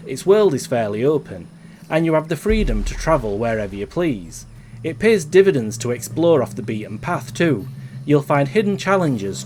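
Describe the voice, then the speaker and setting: A man reading a scripted video game review in a measured, articulate way, as a reviewer would. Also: mid-range voice, a little mellow. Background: background music.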